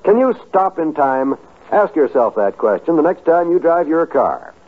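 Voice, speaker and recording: A man's voice: a little high, big pitch swings, normal speed. An American man reading scripted 1950s radio announcements in a polished, earnest manner. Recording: tinny, muffled 1950s radio broadcast.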